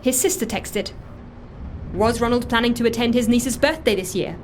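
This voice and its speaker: A woman's voice: on the high side, moderate pace, darkish tone, slight background noise, close to an everyday voice. An actress playing an earnest, guilt-ridden woman who confesses and justifies herself in a matter-of-fact way, in naturalistic audio drama. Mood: matter-of-fact, confiding. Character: earnest, guilt-ridden woman secretly running a dead man's life. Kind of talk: audio fiction drama acting, naturalistic dialogue.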